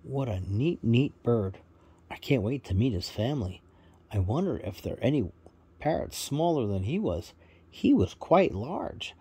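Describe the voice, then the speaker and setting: A man's voice: mid-range voice, very animated, a little slow, dark tone, slightly rough, heavily acted. A man voicing a polite young boy on a forest adventure in a children's bedtime story, hesitant and marveling. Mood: delighted.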